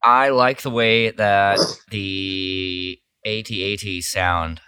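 A man's voice: lowish voice, steady tone, slow, pushed and bright, clear, resonant tone, no echo. A man co-hosting a podcast in casual, conversational talk. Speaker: podcast co-host. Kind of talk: casual chat.

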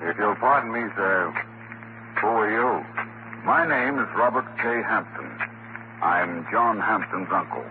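An actor playing a stern, suspicious older uncle with measured, formal speech in 1940s radio style. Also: male voice, on the low side, expressive. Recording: tinny, noisy 1940s radio recording.

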